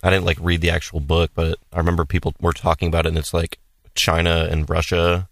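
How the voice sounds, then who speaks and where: A young man co-hosting a casual radio show, with a deep baritone voice and deadpan jokes. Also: fast, vocal fry, no echo. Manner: deadpan, joking. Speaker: radio co-host.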